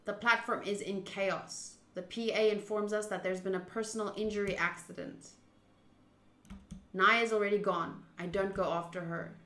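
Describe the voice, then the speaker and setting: A woman streaming a visual novel playthrough, reading the text aloud and chatting with viewers in an expressive way. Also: mid-range voice, moderate pace, reverberant. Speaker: game streamer.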